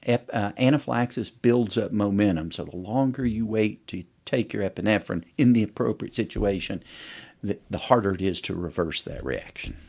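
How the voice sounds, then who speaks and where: A middle-aged man with a fairly low voice talks as a doctor being interviewed. He explains medical points in a conversational way, often walking through examples in plain first person. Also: normal speed, creaky, a little mellow. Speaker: allergist, podcast guest.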